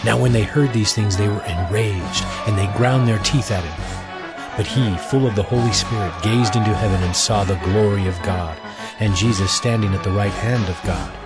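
A man's voice: fairly low pitch, moderate pace. A man giving scripted narration for a dramatized scripture audio production. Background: music.

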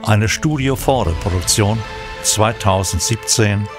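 Deep voiced guy narrating an audio drama over string music. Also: slow.

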